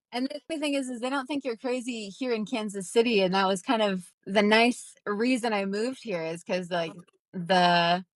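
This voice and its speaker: A young woman talks casually as an interview guest. She rambles and restarts, her pitch sits in the middle, and the sound is close and dry. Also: dry recording. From Minnesota, United States. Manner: rambling, filler-heavy, acts out quoted voices. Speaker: guest, ghostwriter.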